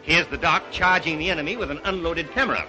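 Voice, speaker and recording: A man's voice: on the high side, fast talker, music in the background, close to an everyday voice, rough recording. An actor playing a jovial, teasing male yacht passenger who needles his friends, in 1930s film style. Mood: mocking.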